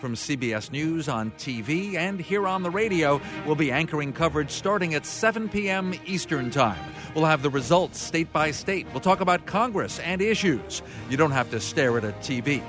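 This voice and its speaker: A man hosting a radio news program, reading and interviewing in a measured broadcast delivery. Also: a little high, animated, over music.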